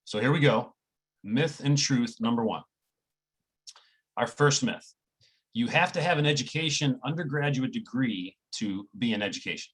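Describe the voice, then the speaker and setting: A man moderates a career webinar over a video call, brisk and upbeat as he moves through prepared transitions. His voice sits at an ordinary middle pitch. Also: lively intonation, fairly bright tone, slightly rough, poor audio. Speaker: panel moderator.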